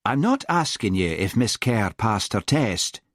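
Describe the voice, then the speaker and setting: A man voicing a lazy but shrewd policeman with a Scottish Highland accent, drawling and quietly threatening. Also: sing-song, measured pace, no room sound, studio quality, heavily acted. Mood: quietly menacing.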